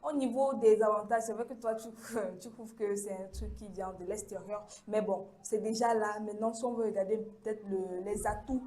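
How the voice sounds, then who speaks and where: A woman hosts a student talk show. She speaks at a middle pitch and leads the discussion with questions. Her hesitations and fillers keep the tone relaxed. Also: normal speed, hardly any pauses. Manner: steers the debate with questions, fillers. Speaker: host.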